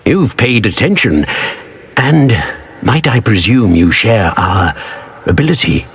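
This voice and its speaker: A man playing a grave old wizard professor in a video game, speaking in a formal, measured manner. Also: on the low side, animated, husky, no echo, full character voice. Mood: solemn. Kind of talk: video game character acting.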